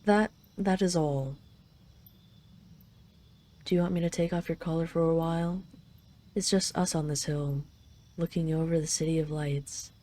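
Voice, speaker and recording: A haughty female dragon queen, played by a woman in an intimate audio roleplay. Her voice sits fairly low, with a very mellow, relaxed tone. She speaks imperiously and teasingly to her captive, possessive yet fond. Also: moderate pace, pauses often, a little hiss or hum, natural voice. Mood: fond, domineering. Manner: imperious, teasing, intimate, slightly flustered when admitting affection. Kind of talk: roleplay audio drama addressed to the listener.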